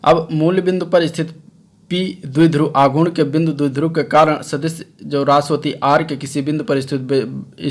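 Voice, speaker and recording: A man with a slightly high voice delivers an explanatory science lecture. He speaks as a teacher reading through notes, didactic in manner, regularly dropping in small check-in tags as he moves from point to point. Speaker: science teacher for exam preparation.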